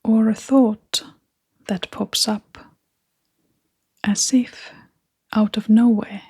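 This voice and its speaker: A woman leading a guided meditation in a soft, breathy, half-whispered voice, gently and with long pauses. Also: on the high side, fairly even intonation.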